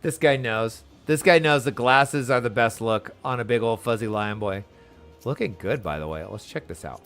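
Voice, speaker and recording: A man's voice: mid-range voice, a bit bright. A man giving casual commentary as he streams himself playing a video game.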